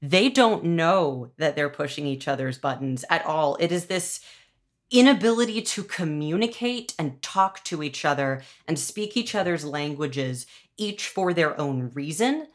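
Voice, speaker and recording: A woman speaking casually and with opinions as a podcast interviewer and showrunner, in an animated, conversational way. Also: low-pitched, a little slow.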